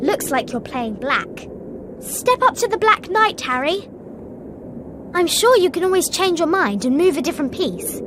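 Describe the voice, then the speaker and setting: High-voiced clever schoolgirl witch, English accent. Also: sing-song, moderate pace, noisy background. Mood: earnest. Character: clever bossy schoolgirl witch, earnest and anxious. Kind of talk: video game cutscene acting.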